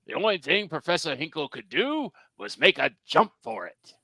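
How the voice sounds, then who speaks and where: A man's voice: a little high, sing-song, normal speed, gravelly, bright, forceful tone, no echo, studio quality. A man narrating a children's story at a live script reading, with a storyteller's emphasis and wry asides.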